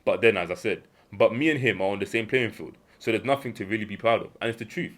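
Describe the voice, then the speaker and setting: Fast-talking young British man, lowish voice. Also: a bit bright. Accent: British English. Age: young adult. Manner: earnest, emphatic, repeats key phrases; acts out imagined dialogue in a lower voice. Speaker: self-improvement vlogger. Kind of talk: unscripted motivational monologue.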